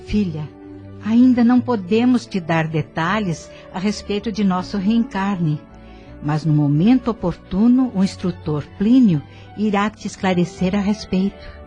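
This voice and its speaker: A Brazilian woman playing a gentle, reassuring mother spirit in an audio drama. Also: mid-range voice, a little slow, dull audio, soft background music, lightly acted. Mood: tender, reassuring.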